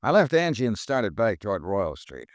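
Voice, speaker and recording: A persistent insurance investigator telling his own story in a 1950s radio crime drama. His low voice moves widely in pitch and sounds dry and close, with a wry, matter-of-fact delivery. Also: sing-song, moderate pace, no echo. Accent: American. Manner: wry, dry first-person narration. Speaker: lead and narrator.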